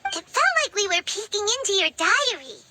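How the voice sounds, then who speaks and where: A woman voicing a tiny, excitable, childlike fairy companion with a squeaky voice in an anime game. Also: brisk, noisy background. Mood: teasing. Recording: music behind the voice.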